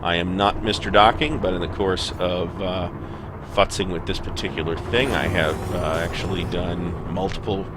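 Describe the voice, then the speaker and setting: Low voiced guy rambling through a game video, halting, clear engine hum behind him. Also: steady tone. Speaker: gaming video host. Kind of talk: casual gameplay commentary and software walkthrough. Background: spaceship game ambience.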